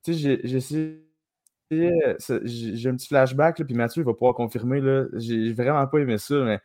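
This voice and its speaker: A man's voice: mid-range voice, normal speed, mellow. A man with a Québécois French accent, a guest on a podcast, talking casually and hesitantly with many fillers. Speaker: guest, card dealer. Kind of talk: casual chat.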